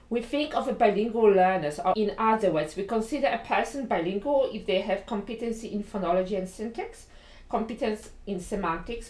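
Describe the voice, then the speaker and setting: A woman with a Polish accent gives a lesson at a medium pitch, explaining each point in order and often checking in with "right?". The recording carries a noticeable room echo. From Poland. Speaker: educator. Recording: echoey room.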